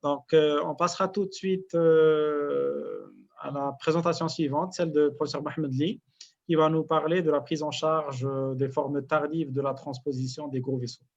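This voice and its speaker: A man introducing speakers in a medical webinar, courteous and formal, hesitating with fillers. His voice sits a little high and stays flat and even. The audio is dull and lo-fi. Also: normal speed, vocal fry. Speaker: webinar moderator. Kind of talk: formal moderating. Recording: dull lo-fi sound.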